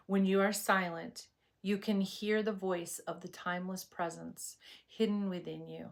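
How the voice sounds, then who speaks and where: Low-pitched woman giving a meditation talk. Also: creaky, fairly bright tone. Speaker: meditation and yoga teacher. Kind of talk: scripted spiritual meditation talk.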